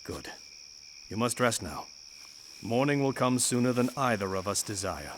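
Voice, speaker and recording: A man's voice: medium pitch, animated, normal speed, a little hiss or hum, natural voice. A man playing a stern knightly guardian in an audio drama, speaking in a terse, formal, commanding way. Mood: weary, firm.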